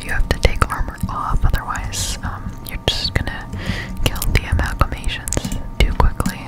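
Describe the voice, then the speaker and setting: A woman whispering casual, halting ASMR commentary over gameplay in a breathy voice, close to the microphone. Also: loud music behind the voice. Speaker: gaming streamer, ASMR creator.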